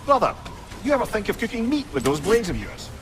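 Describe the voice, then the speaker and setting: High-voiced, wry, learned companion. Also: male voice, sing-song, fairly quick. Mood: wry, curious. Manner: wry, erudite, talkative. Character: chatty, wry, learned male travelling companion. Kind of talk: video game cutscene acting. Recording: music behind the voice.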